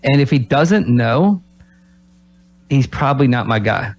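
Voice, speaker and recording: A man's voice: medium pitch, lively intonation, a little slow, dry recording. A man who coaches college basketball, co-hosting a radio talk show and speaking reflectively, often ending his points with rhetorical questions. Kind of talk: casual sports radio talk. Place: radio studio.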